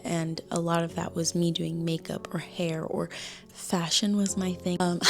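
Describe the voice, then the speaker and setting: A woman's voice: fairly low pitch, creaky. A woman in her thirties chatting candidly to camera in a casual vlog, full of "like" fillers. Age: 30s. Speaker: vlogger. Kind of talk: casual talking-head chat. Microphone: small handheld camera.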